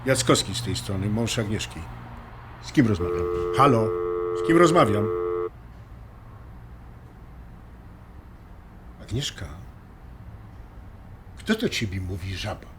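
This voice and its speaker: Man acting as patient husband, medium pitch, lively intonation, colloquial, radio drama, faint noise. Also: moderate pace, pauses often, natural voice. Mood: suspicious. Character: Krzysztof, patient, reassuring husband in couples therapy. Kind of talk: audio drama dialogue.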